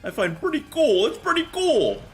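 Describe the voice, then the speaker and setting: A very high, squeezed cartoon boyish character. The voice is strained and uncomfortable, with an awkward, hesitant manner, like an improvised animation role. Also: male voice, wildly swooping pitch, moderate pace, soft background music, heavily acted. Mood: pleased. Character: squeaky, strained, nervous cartoon boy-like new character. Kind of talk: improvised cartoon voice on a game stream.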